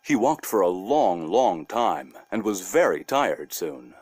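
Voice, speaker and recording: A man narrating a scripted children's story as a storyteller, expressive and deliberate in his wording. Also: sits low, breathy edge, dry recording.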